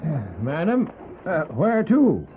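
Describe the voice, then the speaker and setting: Someone doing a humble hesitant coachman guy, swoopy voice, slow, muffled old tape. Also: mid-range voice, big pitch swings, a little hiss or hum. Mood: dutiful. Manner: deferential, hesitant, throat-clearing. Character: Henry, deferential male carriage driver. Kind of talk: audio drama acting. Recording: muffled old cassette drama with music.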